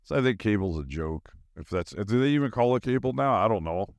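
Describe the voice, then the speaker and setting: Clear-voiced middle-aged American man, rambling casually. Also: fairly low pitch, animated, fast talker, dry recording. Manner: rambling, informal, slangy. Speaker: podcast host. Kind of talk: casual rambling solo podcast monologue.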